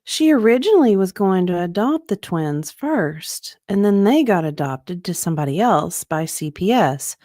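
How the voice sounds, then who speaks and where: Female co-reader for witness answers: expressive pitch, casual, slightly hesitant and giggly, dry recording. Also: moderate pace, few pauses, dry recording. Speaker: guest co-reader. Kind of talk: reading a deposition witness's part, with casual banter.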